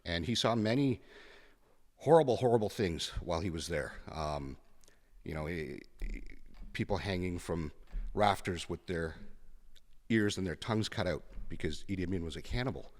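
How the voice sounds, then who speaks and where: A Canadian man in his fifties telling his personal story as a podcast guest, halting and reflective, pausing and repeating words. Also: deep voice, lively intonation, darkish tone, in a large hall. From Canada, born in Kenya.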